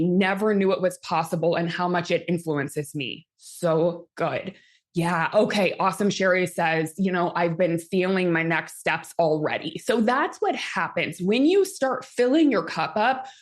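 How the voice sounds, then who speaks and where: A woman in her thirties, a coach leading a live session in an upbeat manner, her voice a little strained from talking a lot. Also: medium pitch. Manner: upbeat, reads out audience comments. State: slightly strained voice, healing. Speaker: host and manifestation coach.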